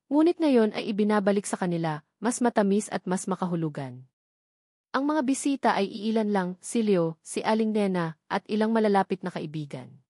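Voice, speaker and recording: A woman with a Filipino accent narrating a scripted dramatic story in a sentimental, measured way. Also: medium pitch, darkish tone, dry recording, clean studio sound.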